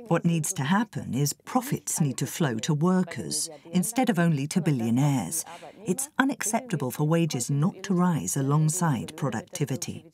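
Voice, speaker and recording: A woman's voice: sits low, big pitch swings, moderate pace, darkish tone. A woman speaking as an interviewed expert, serious and emphatic as she explains.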